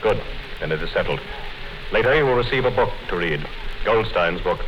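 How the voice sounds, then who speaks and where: An actor playing a calm, commanding party official in a measured, deliberate 1950s radio drama style. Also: male voice, low voice. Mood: stern interrogation. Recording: noisy, dull 1950s radio recording.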